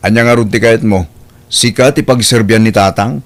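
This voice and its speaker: A man's voice: on the low side, fairly even intonation, normal speed, close to an everyday voice. A man playing a stern, exasperated young husband protective of his father, in an audio drama with an Ilocano accent. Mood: angry.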